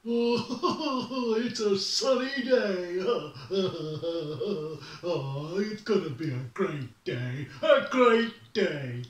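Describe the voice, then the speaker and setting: A storyteller voicing a cheerful small male bear who talks slowly, draws out his words and giggles. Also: mid-range voice, lively intonation. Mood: gleeful. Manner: slow, drawn-out, giggly. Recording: roomy, distant mic.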